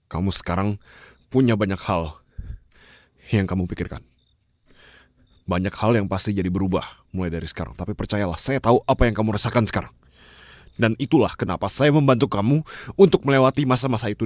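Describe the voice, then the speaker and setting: A man acting a stern but caring mafia boss in an ASMR roleplay, speaking formally and haltingly into a close microphone. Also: lowish voice, no room sound, close to an everyday voice. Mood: urgent, consoling. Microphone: close studio-style microphone, dry.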